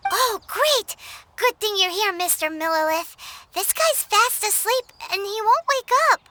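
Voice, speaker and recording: A woman's voice: extremely high pitch, lively intonation, a little slow, pushed and bright, no room sound, full character voice. A woman voicing a tiny, perky, childlike fairy companion who speaks bluntly in a video game cutscene. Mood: perky, breezy. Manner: refers to self in third person, bouncy. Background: game music.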